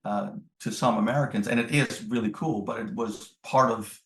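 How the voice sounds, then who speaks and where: A middle-aged man with a fairly low voice, recorded in an echoing room. He is a native Dutch speaker from the Netherlands, talking reflectively and conversationally as an interview guest. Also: normal speed, a bit bright. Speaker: podcast guest, business executive. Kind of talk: conversational interview answers, reminiscing. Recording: roomy, echoing sound.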